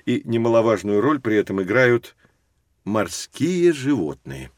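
Professor, patient teacher, highly animated pitch, expressive explaining, educational audio play, close dry sound. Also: male voice, unhurried, no room sound. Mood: patiently explanatory. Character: lively, patient male professor guiding two children. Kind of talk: scripted educational audio drama.

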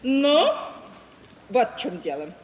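A woman's voice: sits high, sing-song, takes it slowly, lo-fi sound, slight background noise, heavily acted. An actress playing a sly, teasing provincial society woman, arch and knowingly ironic, in a 1950s Russian radio stage comedy. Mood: mock-confiding. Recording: echoey hall, 1950s radio recording.

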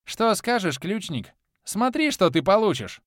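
A man's voice: big pitch swings, a little slow, a bit bright, no echo, clean studio sound, heavily acted. A man voicing a mad, cackling male deity who gloats with childish glee. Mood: mocking. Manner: manic, shrill, gloating.

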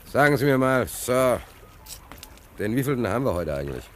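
An actor playing a talkative, wry, soft-hearted homeless angler in a German radio play, colloquial and repeating himself. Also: male voice, mid-range voice, sing-song, normal speed, natural voice. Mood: chatty, wry.

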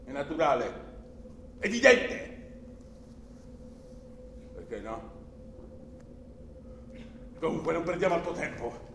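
An elderly guardian from the country, bossy and fretful, in a stage-like radio drama. His delivery is slow and emphatic, swinging between hushed and exclaiming. The room rings around him, with a faint layer of noise. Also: on the high side, big pitch swings, vocal fry, full character voice. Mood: aggrieved, insistent. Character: older, overbearing, anxious country uncle and guardian. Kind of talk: theatrical radio drama acting. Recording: roomy echo, faint background noise.

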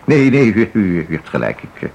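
A man's voice: lowish voice, very animated, brisk, gravelly, a little mellow, slight background noise. Stammering, flustered, timid man. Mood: hesitant, embarrassed. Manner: hesitant, stammering, repeating himself. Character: timid, flustered, polite man out of his depth. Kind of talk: Dutch radio drama acting.

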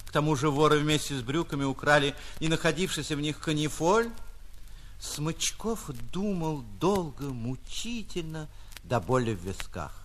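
Expressive male storyteller with very animated pitch. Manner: theatrical, drawn-out emphasis with dramatic pauses. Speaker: narrator, stage actor reading. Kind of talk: expressive literary short-story narration.

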